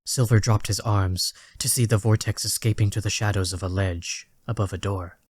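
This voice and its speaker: A man reads dramatic fiction as its narrator. His voice is low and stays even, with measured literary phrasing, in a close, dry recording. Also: slightly rough, no echo. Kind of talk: scripted dramatic story narration.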